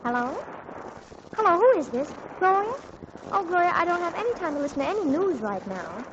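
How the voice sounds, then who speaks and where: An actress playing an excitable, dreamy teenage girl in a 1940s radio comedy, gushing and flustered. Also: extremely high pitch, a little fast. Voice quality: gushing, breathless teen chatter. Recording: muffled 1940s radio broadcast, hissy.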